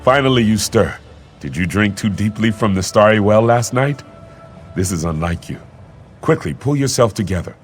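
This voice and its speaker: An actor playing an eager, loyal young warrior prince in a video game, speaking in formal, earnest phrases. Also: male voice, on the low side, animated, normal speed, faint music behind, close to an everyday voice. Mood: teasing, concerned. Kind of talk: video game character acting.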